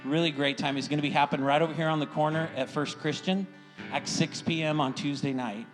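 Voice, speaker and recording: A man's voice: mid-range voice, low-quality recording. A middle-aged American man speaking as a pastor, encouraging his congregation in an informal, folksy way and often checking in with them. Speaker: church pastor welcoming the congregation. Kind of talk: informal sermon and church announcements.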